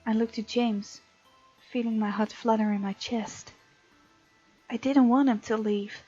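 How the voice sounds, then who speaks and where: Higher-voiced woman doing let's play commentary. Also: fairly even intonation, measured pace, dark tone, slightly rough, highs cut off. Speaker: host of a visual novel let's play. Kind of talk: let's play commentary, reading game text aloud. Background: game music.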